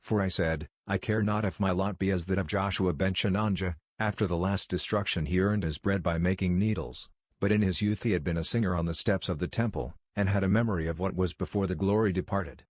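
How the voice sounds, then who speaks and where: A man's voice: low-pitched, fairly quick, hardly any pauses, no room sound, studio quality. A man reading as a frail fervent visionary scholar. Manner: fervent, solemn, archaic phrasing.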